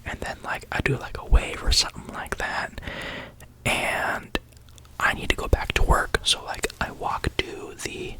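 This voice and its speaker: A tired young adult YouTuber telling a story in a soft unvoiced whisper, rambling with many likes and ums. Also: unhurried, low-quality recording.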